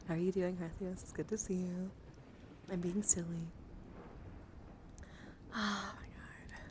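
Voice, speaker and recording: A woman live-streaming ASMR, chatting casually and playfully to viewers in a voice that drifts between soft speech and hushed whispering. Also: fairly low pitch, fairly even intonation, slow, pauses often. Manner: playful, giggly, easily sidetracked. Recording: clearly audible background noise.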